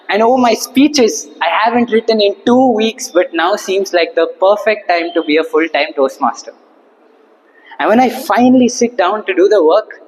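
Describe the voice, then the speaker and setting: A young man giving a humorous prepared speech to an audience, with a theatrical, rhetorical delivery and deliberate pauses. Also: high-pitched. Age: young adult. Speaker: club speaker at Toastmasters. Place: indoor hall, speaking to a seated audience. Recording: reverberant hall.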